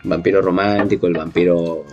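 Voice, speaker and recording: A man's voice: deep voice, level tone, brisk, a little mellow, muffled recording, soft background music. A man with a Peruvian accent chatting casually and reminiscing as a podcast co-host.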